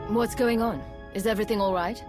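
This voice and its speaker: A woman's voice: medium pitch, fairly even intonation, fairly quick, over music, lightly acted. An actress playing a loyal, direct female agent in a video game, speaking earnestly. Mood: concerned. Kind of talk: scripted game dialogue.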